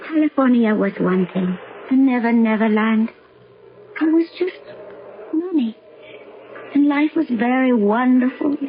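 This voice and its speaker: Gentle young princess, torn and sorrowful, unhurried careful formal speech, radio play, narrowband hissy sound. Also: female voice, a little high. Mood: wistful. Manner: halting, careful, formal phrasing without contractions. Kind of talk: 1970s radio drama acting.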